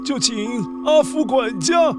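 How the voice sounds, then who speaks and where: High-pitched, excitable, fretful rich master. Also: male voice, full character voice. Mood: resigned. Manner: dramatic, drawn-out, full of sighs and exclamations. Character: excitable, fretful wealthy master who paints animals. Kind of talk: children's audio story acting.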